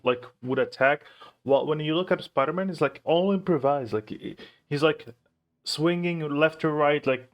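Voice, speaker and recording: Casual, hesitant man reacting. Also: medium pitch. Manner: hesitant, repeats words while thinking aloud. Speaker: reaction video host.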